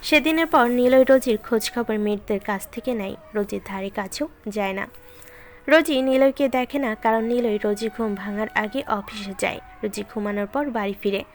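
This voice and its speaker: A woman's voice: a little high, moderate pace. A woman giving scripted narration of a romantic story. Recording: music behind the voice.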